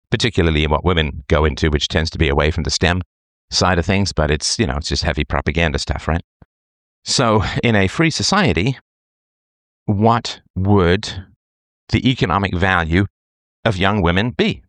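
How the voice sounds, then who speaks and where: A man's voice: low-pitched, no room sound. A middle-aged man, hosting a talk show and commenting at length in a conversational monologue, often checking in with "right?". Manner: conversational, tag questions like "right?". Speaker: host reading a listener's letter.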